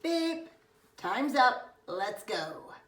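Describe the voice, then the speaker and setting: A teasing schoolboy best friend read by a woman talks in a roomy, echoing space, slightly off the mic. He is brisk, matter-of-fact and insistent, in the manner of a children's storybook. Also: a little high, sing-song, fairly bright tone, a little hiss or hum, voice pushed up, exaggerated voice. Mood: urging. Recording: roomy, echoing, distant microphone.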